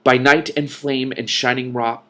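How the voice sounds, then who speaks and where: A man voicing a calm, matter-of-fact female dragon in a storybook, composed and explanatory. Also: mid-range voice, measured pace. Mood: incanting.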